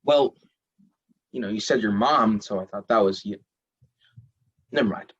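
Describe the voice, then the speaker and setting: A man's voice: fairly low pitch, a little slow, a bit bright, lo-fi sound. A man voicing a lovestruck, laid-back god of the underworld who flirts and jokes. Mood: casual. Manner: smooth, flirty, joking.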